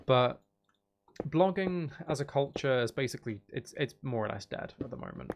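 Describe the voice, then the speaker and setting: A man with a creaky, fry-laden voice at an ordinary male pitch talks casually on a gaming livestream. He rambles and hesitates, thinking out loud as he goes. Faint noise and clicks sit behind him. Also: expressive, moderate pace. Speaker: streamer.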